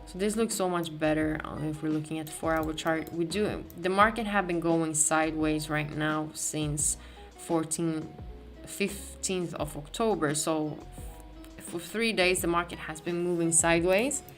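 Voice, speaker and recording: A woman's voice: low-pitched, a little slow, faint music behind. A woman from Sweden with a Swedish accent, hosting a live crypto market stream, talking casually with many restarts and fillers as she reads the chat. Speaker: crypto livestream host.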